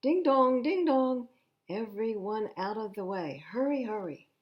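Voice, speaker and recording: A woman voicing excited storybook firemen who shout in urgent, exclamatory bursts. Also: a little high, a little slow, dull audio, pitched above the performer's own voice.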